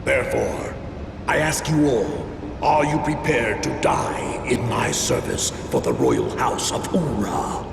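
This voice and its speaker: An actor playing a manipulative male sorcerer and royal regent in a video game cutscene, theatrical and commanding, with deliberate oratory. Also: medium pitch, vocal fry, over music. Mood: cold, menacing. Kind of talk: theatrical scripted game drama.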